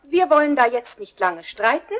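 A firm, sensible mother with a fairly high voice and animated intonation. She coaxes and insists, in a children's radio play. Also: moderate pace. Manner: firm, coaxing. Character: firm, reasonable adult mother defending her children.

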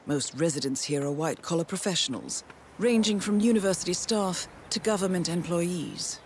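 A woman playing a composed intelligence handler in a video game, giving measured, formal and precise mission briefings. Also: fairly low pitch, a little hiss or hum, lightly acted. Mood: measured, poised. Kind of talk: scripted mission briefing narration. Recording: loud cinematic music behind. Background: loud music score.

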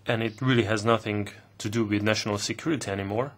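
A man interpreting formal committee questions live, speaking in halting bursts with pauses mid-phrase. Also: fairly low pitch, steady tone, fairly bright tone. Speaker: simultaneous interpreter.

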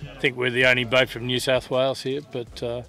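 A man, a yacht sailor recounting the race in a measured way. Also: medium pitch. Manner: flat, measured, lists with fillers. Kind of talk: post-race interview.

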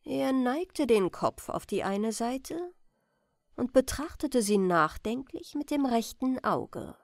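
A woman with a slightly high voice narrates a bedtime story for an audiobook. Her delivery is expressive, with plenty of melodic movement. The recording is close and dry. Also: slow pace, no echo. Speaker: audiobook reader. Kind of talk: scripted bedtime fairy-tale narration.

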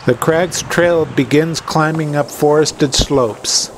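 A man's voice: fairly high pitch, takes it slowly, a little hiss or hum. A man delivering scripted travel narration as the presenter of a hiking channel. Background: background music.

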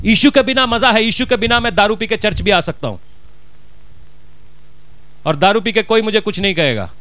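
A middle-aged pastor with a Punjabi accent preaches into a handheld mic, his voice high-pitched and smooth. He presses his points with rhetorical questions and drops Punjabi words into the sermon. The sound is close and dry, with little room around it. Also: animated, normal speed, sharp tone, dry recording. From Punjab, India. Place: church stage, indoors.

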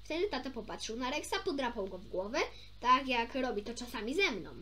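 A young woman with a high voice reads a children's story aloud. Her intonation moves a lot and her delivery is informal. She stumbles a little and slips into chatty asides. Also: lively intonation, a little fast, sharp tone. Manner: informal, stumbles over words and self-corrects. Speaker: amateur storybook reader.

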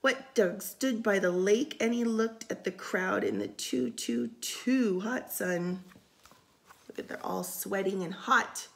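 A woman reading a picture book aloud for storytime, expressive and sing-song, with casual asides. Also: mid-range voice, slow, gravelly, slight background noise. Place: indoors, room by a window.

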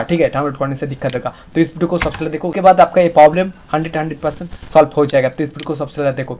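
A man's voice: a little high, a little mellow, slight background noise. A man with an Indian accent narrating a screen-recorded tutorial in a repetitive, instructional manner. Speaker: music producer and channel host.

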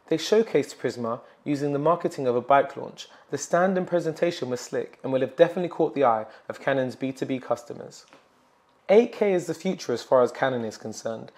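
A young man presenting a tech expo report, reading scripted narration in a measured way. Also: medium pitch, a little mellow. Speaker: presenter for a photo retailer. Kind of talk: scripted tech show voice-over. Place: large indoor expo hall. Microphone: lapel mic.

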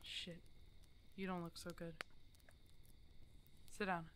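A woman's voice: lowish voice, flat delivery, slow pace, background noise. A woman playing a stubborn, protective older werewolf hermit in an ASMR roleplay drama, blunt and teasing in a casual way. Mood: concerned. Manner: teasing, blunt, casual one-sided dialogue.

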